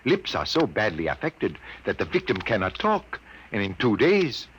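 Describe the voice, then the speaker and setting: A man's voice: fairly low pitch, huge pitch swings, moderate pace, fairly bright tone, slight background noise. A man acting a grave, troubled scientist of sixty-two in a 1950s radio drama. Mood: grave, explaining. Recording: muffled old radio broadcast.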